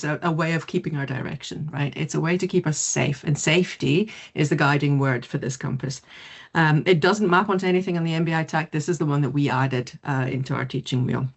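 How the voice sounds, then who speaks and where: Woman from South Africa, low voice, lively presenter, video call mic. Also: breathy edge. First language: not English. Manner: lively, quick, laughs easily. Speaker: mindfulness teacher. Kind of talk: online presentation.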